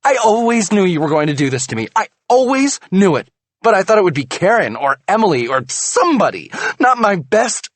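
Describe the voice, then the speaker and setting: A man's voice: fairly high pitch, expressive, slow pace, pauses often, husky, no room sound, voice pushed up, heavily acted. A man voicing a betrayed wife in a screaming, tearful rage in an audiobook. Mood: furious. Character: furious betrayed wife, shrieking, adult woman. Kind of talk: audiobook character voice.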